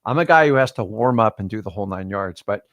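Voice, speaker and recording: A middle-aged man chatting casually as a host, rambling and animated, with lots of fillers and restarts. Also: on the low side, fast, dry recording, muffled recording. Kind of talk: casual chat. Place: studio livestream.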